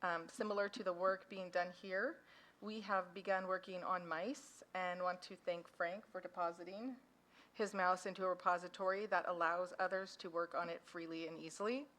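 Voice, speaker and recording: A woman's voice: on the low side, steady tone, moderate pace, a bit bright. A woman addresses a conference hall through a microphone, talking casually about her foundation's work. Her delivery is chatty and self-deprecating, with an easy, informal pace. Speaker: foundation founder and parent speaker. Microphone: handheld or podium microphone.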